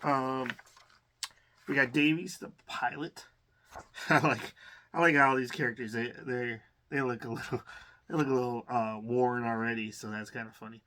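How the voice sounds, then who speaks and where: A man's voice: mid-range voice, fairly even intonation, normal speed, bright, forceful tone. A man hosting an unboxing in a casual, hesitant, rambling way, thinking aloud while a little under the weather. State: under the weather, occasional cough. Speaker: host of a collector's unboxing channel.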